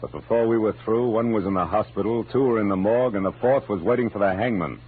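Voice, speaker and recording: An actor playing a wry, world-weary American private detective in 1940s radio noir, with sardonic, slangy narration. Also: male voice, fairly low pitch, fast, husky. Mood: grim, teasing. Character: wry, hard-boiled male private detective, narrating his cases. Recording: muffled vintage radio recording, music behind.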